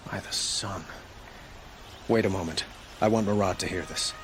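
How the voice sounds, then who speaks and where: A man playing a courteous, earnest young king in a video game, speaking formally and gently. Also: deep voice, moderate pace, a little hiss or hum, lightly acted. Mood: grave. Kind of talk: video game cutscene acting.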